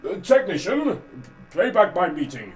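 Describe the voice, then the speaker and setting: A man's voice: high voice, sing-song, a little slow, far from the mic, faint music behind. A man playing a pompous, lecherous old would-be conqueror in an audio drama, grandiose and blustering.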